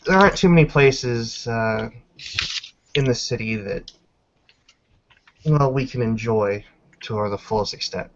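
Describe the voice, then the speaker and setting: A man's voice: mid-range voice, a little slow, long pauses, close to an everyday voice. A man playing a sheepish, friendly female werewolf in a roleplaying game, speaking hesitantly. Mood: tentative, kindly. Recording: noisy lo-fi mic with clicks.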